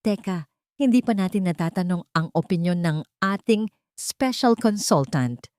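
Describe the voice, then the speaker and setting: A woman voicing a gentle, devoted young woman in love, tender and earnest, in a narrated story. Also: fairly low pitch, lively intonation, normal speed, dry recording, clean studio sound, lightly acted. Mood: playful.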